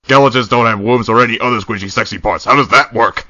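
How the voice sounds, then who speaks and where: A man's voice: mid-range voice, fast, bright, forceful tone, no room sound, highs cut off. A man voicing a laid-back, teasing skeleton brother in a comic dub, talking in a casual, wry drawl. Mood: amused, explanatory. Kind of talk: animated comic dub acting.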